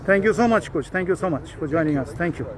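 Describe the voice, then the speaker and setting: A man's voice: on the high side, animated, fast talker. A man asking post-match interview questions outdoors at a football ground. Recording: outdoor crowd noise around.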